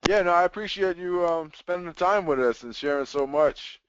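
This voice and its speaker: A man's voice: sits high, fairly quick, slight background noise. A man hosting a live webinar, chatting casually with fillers like "you know". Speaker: webinar host. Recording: dull lo-fi webinar audio.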